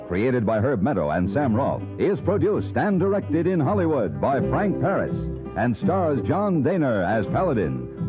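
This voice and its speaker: A man's voice: medium pitch, highs cut off. A man reading scripted credits as a 1950s radio announcer, on an old recording. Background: music.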